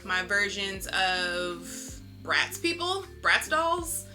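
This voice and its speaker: A woman with a bright voice at a middle pitch talks casually in an interview. She is candid and reflective, telling stories in informal language. Faint instrumental music plays behind her. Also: very animated, drawn-out words. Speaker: interviewed artist. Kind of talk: casual interview answers, storytelling.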